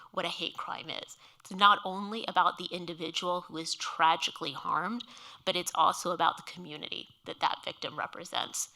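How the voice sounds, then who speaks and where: Woman host at a museum talk, lower voice but bright, steady and earnest. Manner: measured, earnest storytelling. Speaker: moderator of a speaker series. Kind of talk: onstage interview. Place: museum stage before an audience.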